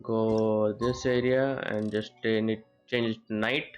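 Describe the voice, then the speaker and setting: A man's voice: on the low side, little pitch movement, a little slow. A man giving casual live gameplay commentary as a streamer, thinking aloud in a rambling way and repeating phrases. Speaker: game streamer. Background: game music and character voices.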